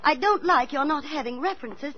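A woman playing a fretful, kindly, middle-aged landlady and former actress in a 1940s radio drama, theatrical and expressive. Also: high voice, a little fast, slight background noise. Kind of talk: 1940s radio acting and first-person narration. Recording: muffled 1940s radio recording with music.

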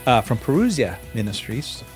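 A man's voice: medium pitch, sing-song, normal speed, soft background music. A man hosting a casual, conversational interview. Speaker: interviewer, host.

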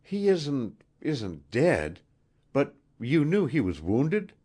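Storybook role: man as young queen, higher-pitched, halting, anxious, pleading, with some royal command. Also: huge pitch swings, a little mellow, breathy edge, exaggerated voice.

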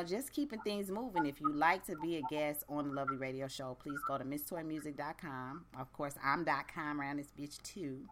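Deep-voiced blunt woman radio host. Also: noisy background. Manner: blunt, profane, laid-back slangy talk. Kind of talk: casual radio chat.

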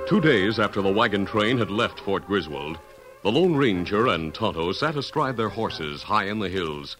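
A man's voice: on the low side, very animated, normal speed, a bit bright, soft background music, rough recording. A man reading scripted narration for a 1950s radio drama.

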